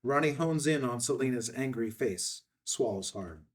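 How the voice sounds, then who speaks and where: A man's voice: mid-range voice, animated, normal speed, distant mic. Male reader for stage directions, middle register, recorded a bit away from the mic. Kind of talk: scripted narration of screenplay directions.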